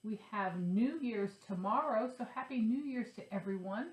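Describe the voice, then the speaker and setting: A woman's voice: fairly high pitch, lively intonation, moderate pace, darkish tone, slight background noise. Congested nasal woman vlogger. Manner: chatty, upbeat, shows her stitching. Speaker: cross-stitch vlogger. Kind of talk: casual chat to camera. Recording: echoey room.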